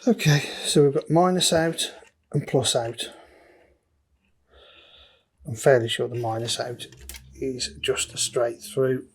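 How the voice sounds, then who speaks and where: A man's voice: mid-range voice, steady tone, slow, long pauses, a little hiss or hum. Matter-of-fact man talking through hands-on work. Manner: matter-of-fact, talking through each step while working. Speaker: DIY electronics presenter. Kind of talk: casual hands-on tutorial commentary. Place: indoor electronics workbench.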